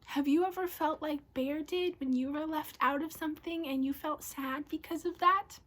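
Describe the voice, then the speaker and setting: A woman reading a storybook aloud to children, expressively, often dropping to a hush and addressing her listeners. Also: high-pitched, steady tone, moderate pace. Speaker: storybook reader. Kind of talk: children's read-aloud narration.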